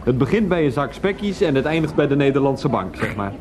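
A man plays an evasive, bumbling husband in a radio comedy-drama. His medium-pitched voice swings up and down a lot, hesitant and placating. There is faint ambient noise behind him. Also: sing-song, fairly quick, close to an everyday voice. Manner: hesitant, placating, tacks on filler tags.

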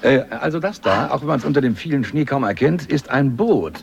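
A probing radio-play interviewer with a creaky, very animated delivery. He prompts casually and haltingly, recorded a little dull over faint music. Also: medium pitch, slight background noise. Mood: curious. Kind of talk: radio drama interview, conversational.

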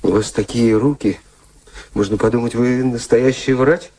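Actor as a shy, grateful, poor young violinist. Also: male voice, medium pitch, fairly even intonation, moderate pace, dark tone, close to an everyday voice. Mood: earnest. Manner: hesitant, apologetic, self-effacing. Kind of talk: radio play acting.

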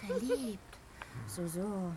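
An actress playing a mocking, playful and haughty river nymph in a fantasy radio play, theatrical and taunting. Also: female voice, medium pitch, huge pitch swings, drawn-out words, background noise, full character voice. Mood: teasing, mocking. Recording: loud music behind the voice.

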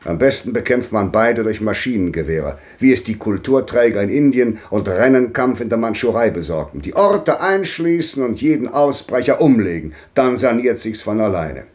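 An actor playing a grandly bitter old man in a 1950s radio drama, declaiming with sweeping swings between loud and hushed. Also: fairly low pitch, very animated, moderate pace, hardly any pauses. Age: older.

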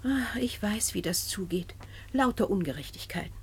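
An actress playing a middle-aged judge's wife in a radio play, condescending and gossipy. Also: female voice, medium pitch, expressive, moderate pace, a little hiss or hum, lightly acted. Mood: nosy, knowing. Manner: gossipy, knowing, sighing.

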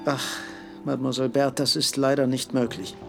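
An actor playing a guarded, politely evasive middle-aged man with a husky, gravelly voice in a radio play. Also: mid-range voice, little pitch movement, normal speed, over music, close to an everyday voice. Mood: stiffly courteous. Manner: formal, evasive.

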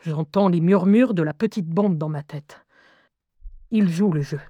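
A woman playing a lively young schoolgirl who narrates her life in a wry, confiding, expressive way in an audio drama. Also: on the low side, a little slow, no room sound. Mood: confiding narration. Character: lively eleven-year-old schoolgirl recounting life with inner voices.

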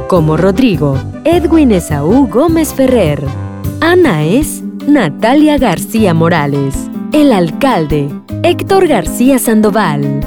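A woman reading a scripted announcement for a radio drama. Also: mid-range voice. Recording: music clearly behind the voice.

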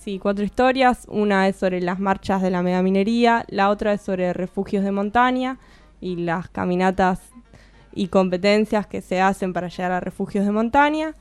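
A young woman from Bariloche, a guest author explaining her book in a relaxed, thoughtful radio chat. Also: mid-range voice, normal speed, clear, resonant tone, no room sound, soft background music. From Bariloche, Argentina. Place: radio studio.

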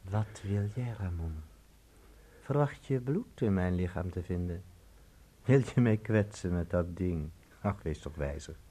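An actor playing a calm, mocking, otherworldly stranger in a Dutch radio play, deliberate and faintly amused. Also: male voice, low-pitched, breathy edge, exaggerated voice.

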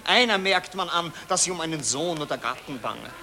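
A man's voice: a little high, expressive, a little fast, a crowd in the background. An actor playing a fussy, reluctant male journalist in a radio play. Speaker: newspaper reporter. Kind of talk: radio drama acting.

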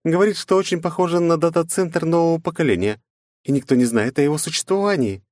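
Man putting on a high bossy tough-girl fighter, teasing, dry close mic. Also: quick pace, darkish tone, no room sound, lightly acted. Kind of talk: audiobook character voice.

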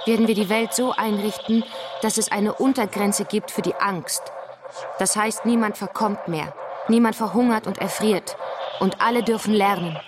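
A woman's voice: mid-range voice, noisy background, natural voice. An actress playing a resolute, earnest woman revolutionary in a radio drama, speaking in a declamatory way. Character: fervent woman revolutionary proclaiming a planned utopia of machines. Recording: music playing behind the voice.

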